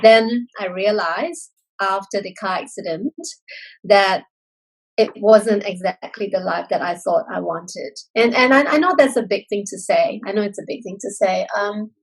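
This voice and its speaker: An earnest woman in her forties, originally from Singapore, tells her story as an interview guest. Her voice sits in a middle pitch, and she talks reflectively, restarting phrases and filling pauses with "um" and "you know.". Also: breathy edge, low-quality recording. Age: 40s. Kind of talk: candid personal storytelling.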